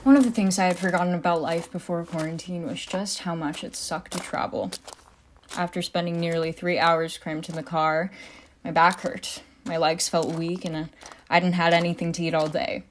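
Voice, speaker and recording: Actress as jaded female private eye for radio-style drama: deeper register, dry wit, slightly noisy home recording. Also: steady tone, normal speed, close to an everyday voice. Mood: weary, reflective. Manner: world-weary, wry, dry. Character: weary, pessimistic, sardonic female private detective, hard-boiled narrator. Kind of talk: scripted audio drama narration and dialogue.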